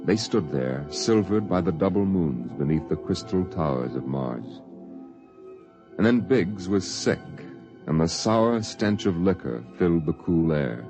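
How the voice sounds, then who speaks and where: An actor narrating a 1950s science-fiction radio play in a solemn, measured, dramatic style. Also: male voice, low voice, lively intonation. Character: solemn omniscient storyteller of a science-fiction tale. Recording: dull vintage 1950s radio recording. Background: faint music.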